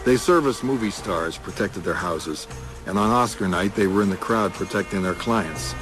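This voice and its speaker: A man playing a dry, skeptical police detective in a hard-boiled radio crime drama, clipped and deadpan. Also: low voice, moderate pace, music in the background, lightly acted. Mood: wry narration.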